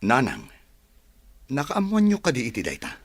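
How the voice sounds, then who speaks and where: Defensive Filipino son, dry sound. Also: male voice, mid-range voice, wildly swooping pitch, moderate pace, gravelly, no room sound, heavily acted. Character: grown son, defensive and evasive with his mother. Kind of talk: radio drama acting.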